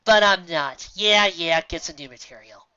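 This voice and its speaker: A woman's voice: fairly low pitch, moderate pace, bright, forceful tone, smooth, pitched above the performer's own voice. A woman voicing a confident, wry teenage heroine in a cartoon story. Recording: dull lo-fi recording.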